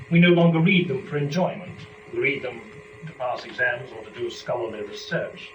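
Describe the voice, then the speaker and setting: A man speaking in a formal, deliberate, articulate way, as a performer presenting poetry to listeners. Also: fairly high pitch. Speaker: performer and lecturer. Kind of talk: dramatic poetry reading and introductory lecture. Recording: echoey room, distant mic, dull, noisy.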